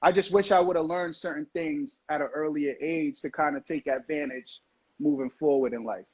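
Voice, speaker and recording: A man from Jamaica, a guest in a relaxed chat on a live video call, who repeats words and often says "facts". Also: on the high side, moderate pace, slightly rough. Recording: dull lo-fi call audio.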